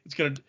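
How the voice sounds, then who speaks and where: Casual man recapping, slightly dull recording. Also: very high voice. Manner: informal, acts out quoted dialogue briefly. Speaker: podcast host.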